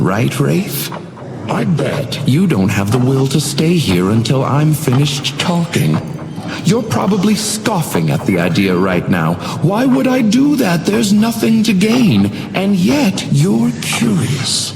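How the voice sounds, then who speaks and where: A genteel video game doorman with silky menace, his lines swooping theatrically up and down in a medium-pitched voice. He taunts his guests in measured monologues, echoing over clearly audible music. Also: wildly swooping pitch, heavily acted. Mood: playful goading. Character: sinister, genteel hotel doorman tormenting guests.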